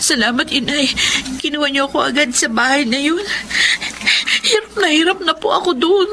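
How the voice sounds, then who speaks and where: An actress with a Filipino accent playing a young, mistreated housemaid, timid and pleading, in an emotional radio drama. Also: female voice, high-pitched, moderate pace, over music. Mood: tearful relief.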